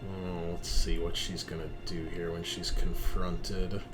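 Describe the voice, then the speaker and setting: A man working as game master, narrating a roleplaying game in an informal, halting way with some swearing. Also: deep voice, flat delivery, over loud music.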